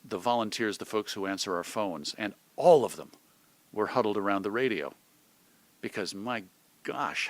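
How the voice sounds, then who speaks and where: American guy, middle aged, chatty storytelling in an interview, bit halting, very dry room. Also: on the low side, fairly bright tone, no room sound. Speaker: radio host being interviewed.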